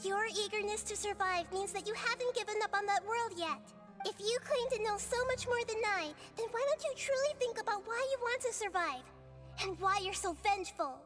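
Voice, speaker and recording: A woman voicing a young, girlish goddess of wisdom in a video game, speaking in a gentle, measured, persuasive way. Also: extremely high pitch, loud music behind the voice. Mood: pleading.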